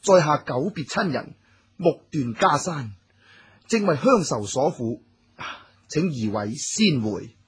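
An actor playing a courteous, homesick scholar-official in a Cantonese radio drama, with formal, literary speech and a husky voice. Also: male voice, on the high side, sing-song, slow pace, exaggerated voice. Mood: polite refusal. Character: courteous, homesick scholar-official, newly crowned top examinee.

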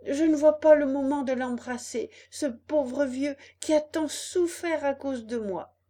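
A woman voicing an eager, naive young wooden puppet boy in a storybook, excitable and earnest. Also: high voice, measured pace, voice pushed up. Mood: eager, joyful.

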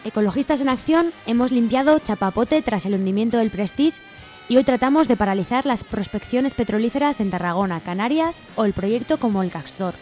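A woman's voice: fairly high pitch, lively intonation, brisk, darkish tone. A woman with an accent from Spain reading scripted narration as co-host of a radio show. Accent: Spain Spanish. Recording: music bed behind the voice. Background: music.

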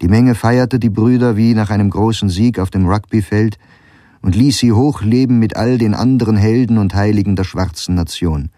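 A man's voice: fairly low pitch, normal speed, warm tone, no room sound, clean studio sound. A man narrating a scripted German radio documentary. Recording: prominent music behind narration.

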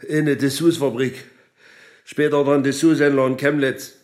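A man acting a meek, miserable, sick scrap collector under questioning in a German radio drama, halting, defensive and apologetic. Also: mid-range voice, little pitch movement, natural voice. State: nauseous, miserable.

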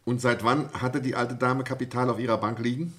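A man's voice: medium pitch, fairly even intonation, brisk, close to an everyday voice. A man acting as a brusque, commanding police prefect in a German radio play, questioning with dry irony. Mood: brisk, probing. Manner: commanding, probing, dryly ironic. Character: brusque, sardonic male police prefect leading an interrogation.

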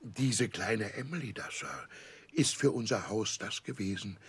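An actor in a radio play playing a warm-hearted, simple seafaring uncle with a rough, husky voice and an emotional, halting way of telling things. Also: male voice, lowish voice. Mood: tender, proud. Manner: emotional, halting, emphatic storytelling with exclamations. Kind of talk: 1960s German radio play acting.

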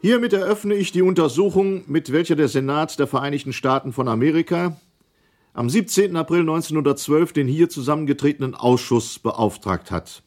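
A man playing a formal senator who chairs an inquiry, speaking solemnly and in measured official phrases in a documentary radio play. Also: on the high side, a little mellow, lightly acted.

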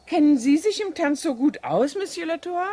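A woman's voice: high voice, lively intonation, moderate pace, mellow. An actress playing a chatty, self-righteous busybody of a cleaning lady in a German radio drama, confiding and emphatic.

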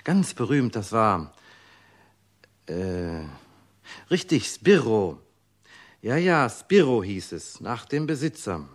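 An actor playing a rapturous, rambling gourmet who narrates a radio drama in an effusive, musing, theatrical way. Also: male voice, on the low side, very animated, takes it slowly, pauses often, exaggerated voice. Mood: musing, intrigued. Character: rapturous, rambling gourmet storyteller savouring his memories.

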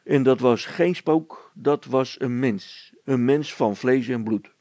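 A man's voice: mid-range voice, takes it slowly, warm tone, no echo, lightly acted. A man voicing an indignant, stubborn village policeman in a storybook, blunt and emphatic. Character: indignant, stubborn male village policeman, plain-spoken and earnest.

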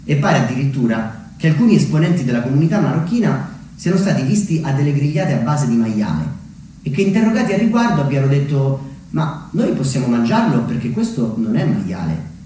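A man from Umbria in Italy performing a reflective theatrical monologue as a solo storyteller, measured and pausing for weight. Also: medium pitch, very animated, mellow, a little hiss or hum. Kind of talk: theatrical monologue, narrated memoir. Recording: very echoey, distant mic, music underneath.

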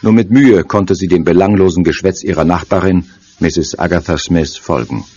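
Deep-voiced male storyteller. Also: normal speed, darkish tone, slightly rough. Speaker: narrator. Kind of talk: scripted dramatic narration. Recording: dull sound with faint noise.

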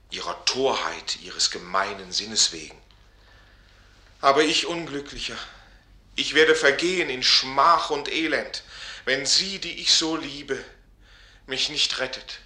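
A man's voice: lowish voice, sharp tone. A man playing an impassioned, lovesick young student in a theatrical radio drama, declaiming fervently. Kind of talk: theatrical German radio play acting. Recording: echoing studio, faint music behind.

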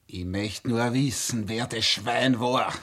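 A man acting a gruff, taunting young street tough in a radio play, speaking slangy Viennese. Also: medium pitch, takes it slowly, fairly bright tone. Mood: sullen, cursing.

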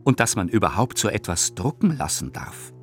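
A man's voice: on the low side, big pitch swings, normal speed, soft background music. A male actor playing an indignant, pompous 1890s newspaper critic in a radio play, declaiming with scorn. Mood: scornful. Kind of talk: dramatic reading of an 1895 newspaper report in a radio play.

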